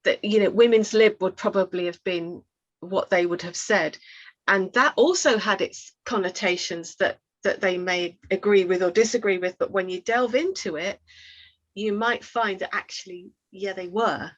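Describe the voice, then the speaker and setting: A woman speaks as a panelist in an online talk, offering advice conversationally. Her voice sits at a middle pitch, and the call audio is slightly dull. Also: moderate pace. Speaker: webinar panelist. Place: indoors on a video call.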